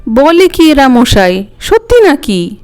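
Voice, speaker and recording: A woman's voice: high voice, big pitch swings, takes it slowly, a little mellow, soft background music, exaggerated voice. A woman voicing a grave, dignified male holy man in a storybook tale, solemn and judicial. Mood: astonished.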